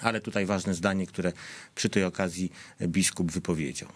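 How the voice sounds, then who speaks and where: A man hosting a studio discussion show, speaking in a measured way and putting questions to his guests. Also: low-pitched, fairly even intonation. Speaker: host.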